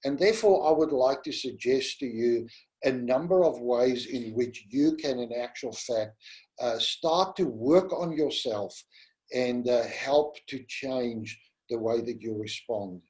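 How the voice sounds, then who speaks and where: Middle-aged south african guy, afrikaans first language, gentle pastoral teacher, talks real slow and deliberate. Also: medium pitch, low-quality recording. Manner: gentle and pastoral, addresses listeners as "my friend". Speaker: webinar presenter. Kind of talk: slow, earnest teaching talk.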